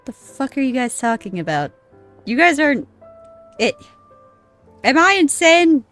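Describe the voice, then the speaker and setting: A woman's voice: high voice, sing-song, a little slow, a bit bright. A woman streaming a game with casual, sweary commentary, musing aloud and poking fun at herself. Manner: sweary, self-deprecating, musing. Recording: game music behind the voice. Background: game music.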